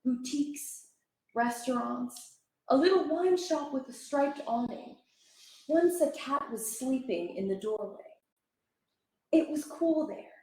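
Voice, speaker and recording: High-voiced woman reading poetry in echoey room. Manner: measured, expressive phrasing. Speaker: actor giving poetry readings. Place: indoor library room at a live event.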